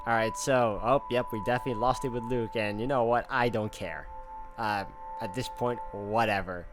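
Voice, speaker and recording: A man with an average-pitched voice hosts a visual novel walkthrough. He moves between reading the text and casual commentary. Music plays clearly behind him. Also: steady tone, normal speed. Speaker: gaming video host.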